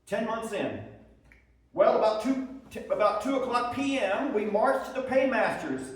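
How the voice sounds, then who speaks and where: A man's voice: very high-pitched, animated, normal speed. A man dramatically reading letters as a weary, homesick Civil War soldier husband, earnest and theatrical, aggrieved yet tender. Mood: grim, matter-of-fact, then recounting. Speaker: reader at a historical presentation. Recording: echoey room, distant microphone.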